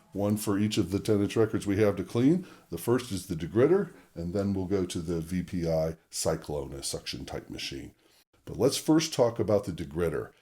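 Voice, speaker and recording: A man with a very low-pitched voice hosts an instructional demonstration. He talks conversationally and explains each option step by step. He speaks straight to the viewer in a methodical way. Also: warm tone. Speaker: presenter of a record-cleaning tutorial channel.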